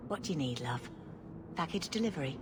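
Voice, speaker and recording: A weary working woman in a fantasy game. Her voice is low, familiar and earthy, kindly yet guarded. Loud noise runs behind her. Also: expressive, brisk. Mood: businesslike, guarded. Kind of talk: fantasy video game acting.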